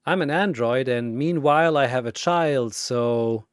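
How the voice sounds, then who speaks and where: A man voicing a calm, motherly female android fighter in a story, speaking in a soft, earnest manner. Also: mid-range voice, measured pace, clear, resonant tone, dry recording, clean studio sound, natural voice. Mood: earnest reassurance.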